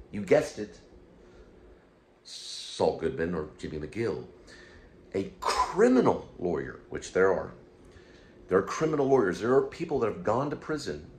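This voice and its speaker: Low-pitched man rambling, noisy background. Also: a little mellow. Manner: halting, digressive, thinking aloud. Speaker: host of his own online show. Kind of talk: rambling unscripted commentary on a TV teleplay. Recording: clear background noise.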